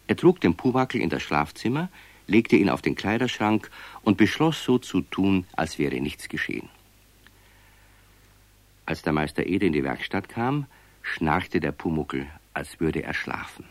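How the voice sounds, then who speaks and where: A man's voice: sits low, animated, unhurried, no echo. A man reading scripted narration for a children's radio story.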